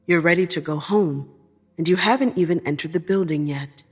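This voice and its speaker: A woman narrating scripted second-person fiction in a measured, deliberate storytelling manner. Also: lowish voice, husky.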